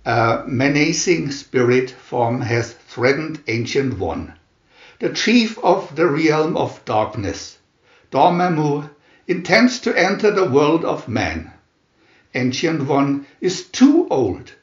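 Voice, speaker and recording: Man, theatrical storybook narration, measured and slow, slightly muffled recording. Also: a little high, roomy sound. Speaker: narrator reading a picture booklet. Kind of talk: dramatic scripted storybook narration.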